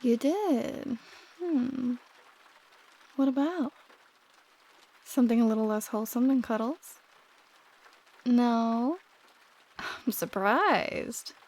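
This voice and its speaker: High-voiced, affectionate roommate played by a woman, ASMR. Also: slow pace, pauses often. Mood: teasing, flirty.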